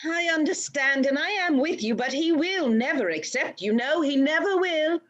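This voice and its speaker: A woman playing a sharp-tongued but loving Victorian wife in a radio play, theatrical and deliberate. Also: high-pitched, animated, muffled recording. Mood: disapproving. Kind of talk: radio play acting, live reading.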